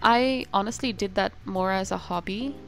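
A woman's voice: medium pitch, moderate pace, vocal fry, fairly bright tone, soft background music. A young woman co-hosting a podcast in casual chat, giggly and rambling, breaking into playful rants. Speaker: podcast co-host.

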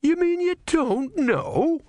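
A man's voice: on the high side, sing-song, moderate pace, a bit bright, dry recording, studio quality, pitched above the performer's own voice. A man voicing an earnest, devout young believer who is insistent and easily outraged, in a storybook reading. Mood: shocked, angry. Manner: insistent, indignant, pious.

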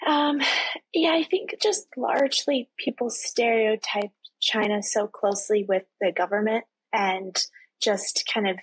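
A woman in her twenties from Texas, a student guest chatting casually in an interview, full of fillers and quick laughs. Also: mid-range voice, husky, no echo, poor audio. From Texas, USA. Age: 20s. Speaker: podcast guest, university student.

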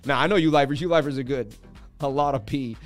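A man's voice: mid-range voice, fast talker, soft background music. A man hosting a crypto livestream, losing his voice, talking in hyped, boastful banter with his viewers. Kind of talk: casual livestream market commentary.

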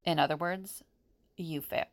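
A woman playing a grave, commanding female seer in an audio drama, speaking in a measured, serious way. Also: sits low, steady tone.

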